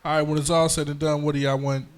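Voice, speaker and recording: A man hosting a podcast, asking questions in a halting way that restarts, a little off-mic. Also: on the high side, level tone, clean tone. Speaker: podcast host.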